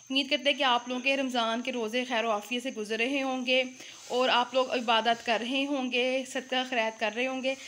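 A woman's voice: on the high side, steady tone, fairly quick, few pauses, a bit bright, a little hiss or hum. A young woman from Pakistan hosting a home cooking vlog, chatting casually and talking straight to her viewers. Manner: chatty, talks straight to viewers, many fillers. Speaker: channel host.

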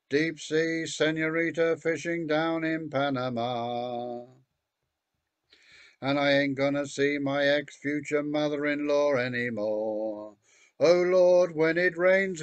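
A man's voice: a little high, clean tone, no echo. An older man singing a country song at an online open mic, from a home room on a video call. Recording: dull lo-fi video call audio.

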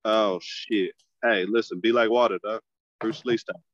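A man, a stand-up comic, chatting casually as a podcast guest over a phone video call, loose and relaxed, often restarting his phrases. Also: fairly low pitch, moderate pace, no echo, muffled recording. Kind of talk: casual conversation.

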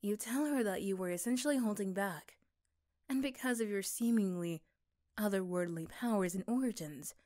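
Mellow-voiced woman narrating. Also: medium pitch, normal speed. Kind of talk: scripted second-person story narration for ASMR roleplay.

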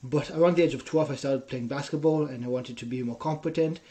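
A man's voice: medium pitch, fast talker, warm tone. A young man in his twenties from Israel with an Israeli accent, a personal trainer telling his story in a casual interview, earnest and often tagging his sentences with "right?". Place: small room at home. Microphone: headset.

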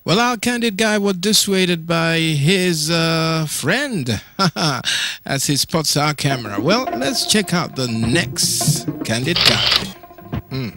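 Bright-voiced Nigerian host, chuckling. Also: male voice, fairly high pitch, lively intonation, slow pace, soft background music. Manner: chuckling, teasing asides to viewers. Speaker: show host, voice-over narrator. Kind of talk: comic voice-over commentary.

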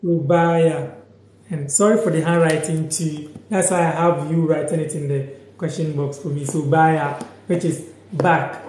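A young man from Ghana giving a relaxed live lesson from a sofa in a small room, talking slowly and saying each word over and over. His voice sits fairly high, and it rings a little in the echoey room. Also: slight background noise. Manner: slow, repeats each word and sentence several times. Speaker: language teacher on a livestream. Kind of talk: casual live lesson, reading out viewer comments.

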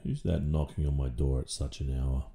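An Australian man playing a stern, protective, possessive father in an audio roleplay, speaking deliberately and condescendingly with drawn-out pauses and menacing calm. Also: a bass voice, vocal fry. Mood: gruff, suspicious. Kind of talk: intimate audio roleplay, slow dramatic monologue.

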